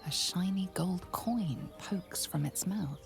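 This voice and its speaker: An actress playing a grave, all-knowing fantasy game narrator with a measured, ominous delivery. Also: female voice, on the low side, creaky. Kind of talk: scripted second-person game narration. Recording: loud game music behind. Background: game music.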